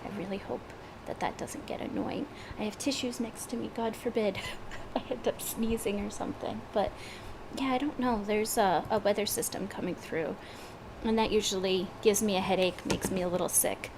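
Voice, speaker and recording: A woman's voice: mid-range voice, steady tone, normal speed, background noise. A woman with a head cold chatting before a gaming session, hesitant and full of fillers. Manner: hesitant, many fillers and restarts. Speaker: gaming streamer. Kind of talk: casual chat before a gameplay session.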